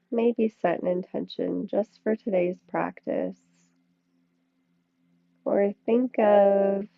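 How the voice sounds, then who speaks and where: A woman leading a yoga class with slow, soothing guided instruction, speaking in short phrases with long pauses. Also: on the low side, creaky, dry recording. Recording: thin, phone-like band-limited sound, dry.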